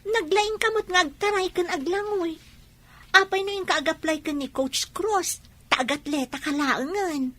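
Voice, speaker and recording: A woman's voice: very high voice, a little slow, fairly bright tone. A woman with a Filipino accent acting a bossy but encouraging young school friend in a radio drama, urging and insistent.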